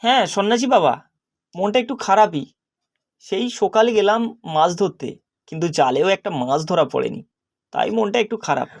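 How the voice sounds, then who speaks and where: A man's voice: high voice, animated, a little fast, creaky, pauses often, exaggerated voice. A man voicing a naive, discontented young fisherman, earnest and plaintive, in a cartoon story. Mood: glum, wondering. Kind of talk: animated story character acting.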